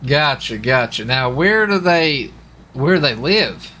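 A man's voice: mid-range voice, animated, a little slow, pushed and bright, slight background noise. A man bantering casually and teasingly in character during a police roleplay game. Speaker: roleplay gamer playing a lawman.

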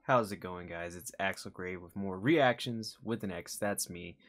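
A man's voice: low-pitched, moderate pace, a bit bright, faint music behind. A young man hosting a reaction video in casual, rambling chat. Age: young adult. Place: small room indoors.

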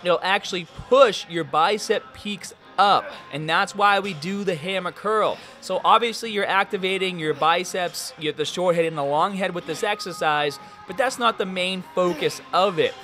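A young man giving workout instructions as a fitness coach, energetic and coaching in tone. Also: high voice, normal speed, few pauses, faint music behind. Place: large gym, indoors.

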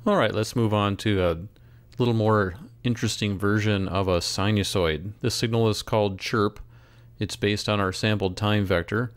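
A man narrating a software tutorial as an instructor, in a measured, step-by-step way. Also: lowish voice, steady tone, no room sound. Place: close, dry voice-over for screen recording.

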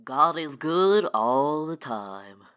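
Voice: mid-range voice, very animated, takes it slowly, background noise. An actor playing a folksy, naive, blustering small-town religious zealot in a comic radio play. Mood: awed. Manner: folksy exclamations, flustered stammering.

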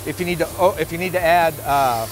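A man's voice: on the high side, brisk, poor audio. A man in his fifties giving an informal, plain-spoken walkthrough as a sales director on a factory floor. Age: 50s. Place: large indoor factory floor.

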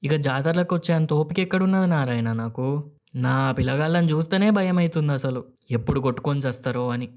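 A man's voice: fairly high pitch, a little fast, a little mellow, voice pushed up, lightly acted. Man acting older village father, Telangana Telugu accent, exasperated, weary, complaining, rustic storybook character.

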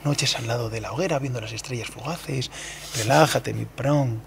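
A man's voice: mid-range voice, normal speed, gravelly, crowd noise. A man co-hosting a podcast outdoors, bantering in a joking, mock-dramatic way. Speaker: podcast co-host. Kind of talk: casual banter. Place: outdoor terrace by a brick wall.

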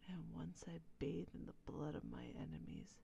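A woman playing a proud, brooding female vampire in an ASMR role play, speaking in breathy, solemn, formal phrases. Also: deep voice, sing-song, moderate pace, warm tone, lo-fi sound, a little hiss or hum, full character voice. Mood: cold, vengeful.

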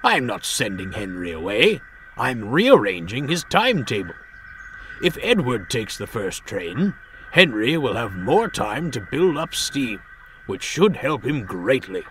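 A man's voice: mid-range voice, big pitch swings, measured pace, a bit bright, a little hiss or hum. Man as a formal, pompous railway boss. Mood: kindly, firm. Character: stern but kindly older male railway boss. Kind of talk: children's storybook character voice.

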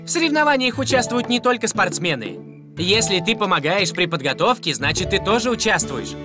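Brave young rescue robot leader, really high earnest voice, cartoon dub, music behind. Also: male voice, big pitch swings, fairly quick. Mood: encouraging. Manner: earnest, encouraging. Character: heroic young police car robot, earnest team leader.

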